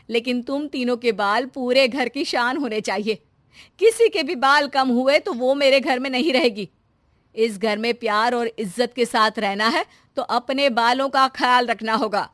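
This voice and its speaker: A woman voicing a fretful, sharp-tongued middle-aged housewife in an animated story, complaining dramatically. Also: on the high side, normal speed, dry recording, natural voice. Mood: stern.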